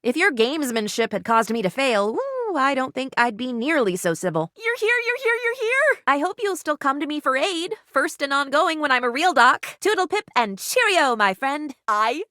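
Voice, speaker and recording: Game role: studious, theatrical first-aid kit, prim old-fashioned diction, swooping melodic delivery, close dry sound. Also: female voice, high voice, sing-song, normal speed, hardly any pauses, bright, forceful tone, no echo, full character voice. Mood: politely reproachful, then elated. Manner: prim, chirpy, old-fashioned exclamations and formal phrasing. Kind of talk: video game character voice acting.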